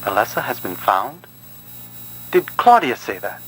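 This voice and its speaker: An actor playing a measured, quietly evasive priest in a video game, speaking in a smooth, guarded way. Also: male voice, mid-range voice, very animated, a little hiss or hum, rough recording. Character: measured, soft-spoken male cult priest, quietly evasive.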